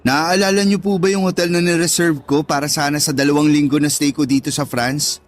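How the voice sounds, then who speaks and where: A man's voice: fairly high pitch, fairly even intonation, fairly quick, soft background music, close to an everyday voice. A man with a Filipino accent acting an affectionate, needy young grown son in an emotional radio drama. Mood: warm, eager.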